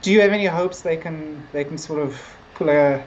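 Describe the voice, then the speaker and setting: A woman's voice: low-pitched, moderate pace. A woman co-hosting a casual review chat, talking in a loose, rambling way. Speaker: discussion show co-host. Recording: dull lo-fi sound, noisy background.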